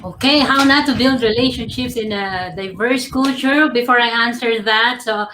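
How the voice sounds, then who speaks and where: A woman from the Philippines, a professional coach, giving tips on a panel in a slow, measured, gently ironic way over a video call. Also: fairly high pitch, echoey room, a little hiss or hum, low-quality recording.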